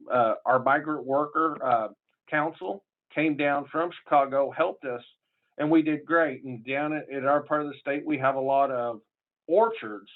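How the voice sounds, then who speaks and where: A man with a southern Illinois accent giving a conference presentation in a folksy, rambling way, with many fillers and restarts. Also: mid-range voice. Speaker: public health association presenter. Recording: tinny phone-like audio.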